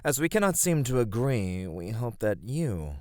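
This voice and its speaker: A man playing a gentle, flirty immortal god of radiance in an ASMR roleplay, speaking softly and formally. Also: medium pitch, sing-song, measured pace, no room sound, clean studio sound. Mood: courteous, earnest. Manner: soft, formal, tender speech.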